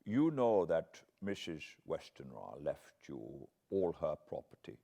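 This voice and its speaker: A man voicing a solemn, slow-spoken old professor. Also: very deep voice, big pitch swings, creaky, heavily acted. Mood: earnest, pleading. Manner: solemn, gentle, halting foreign phrasing. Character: grave, kindly old professor, foreign-born, earnest.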